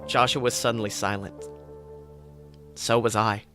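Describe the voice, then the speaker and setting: Bright-voiced man narrating a story. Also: on the low side, fairly even intonation, normal speed, faint music behind. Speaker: podcast host reading fan fiction. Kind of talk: dramatic first-person story narration.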